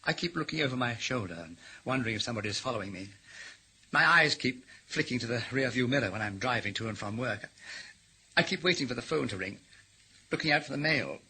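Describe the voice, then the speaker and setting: An actor in a radio drama playing a middle-aged newspaperman, guilt-ridden and halting, cracking under pressure. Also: medium pitch, lively intonation, sharp tone, slightly rough, exaggerated voice, low-quality recording. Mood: despairing. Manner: halting, repetitive, overwrought. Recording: hissy old radio broadcast recording.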